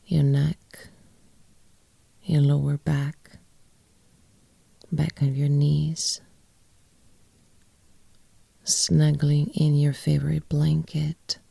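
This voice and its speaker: Very slow, low, creaky woman guiding meditation. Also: dry recording. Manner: slow, gentle phrases with long pauses, often near-whispered. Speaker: yoga nidra teacher and guide. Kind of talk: guided meditation, soft and soothing.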